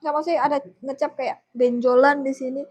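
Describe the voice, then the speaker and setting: A middle-aged woman with a high voice talks casually as a family vlogger. She is informal and giggly, chatting to viewers and those around her. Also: steady tone, a little fast, a little mellow. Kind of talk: casual chatty vlog talk.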